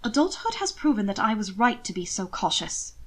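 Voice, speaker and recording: A woman voicing a prim, guarded young gentleman who speaks in stiff, defensive period language. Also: medium pitch, sing-song, brisk. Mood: bitter, guarded. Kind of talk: fiction read aloud, character dialogue.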